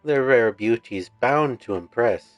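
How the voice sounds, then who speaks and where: A man's voice: animated, measured pace, no echo, dull audio, soft background music, close to an everyday voice. A man voicing a woman flower seller in a game, coaxing a customer to buy. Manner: coaxing sales pitch.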